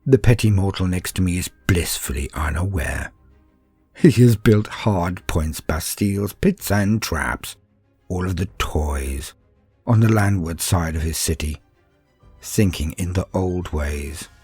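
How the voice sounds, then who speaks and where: A man playing a scheming, fanatical villain of a dark crusade, gravelly and breathy, in a slow, contemptuous storybook monologue. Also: sits low, no echo. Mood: smug, contemptuous. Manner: slow, menacing, savouring pauses. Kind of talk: dramatic first-person villain monologue in audio story. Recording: music bed under monologue.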